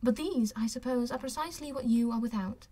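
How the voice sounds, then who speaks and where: A woman voicing a witty, self-assured young gentlewoman in an audiobook, with a playful, teasing and articulate manner. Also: fairly high pitch, brisk, lightly acted. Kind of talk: audiobook character voice.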